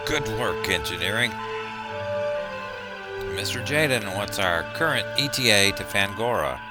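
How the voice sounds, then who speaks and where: A man playing a steady, commanding starship captain in a sci-fi audio drama. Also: fairly low pitch, unhurried, over loud music. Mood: composed, authoritative.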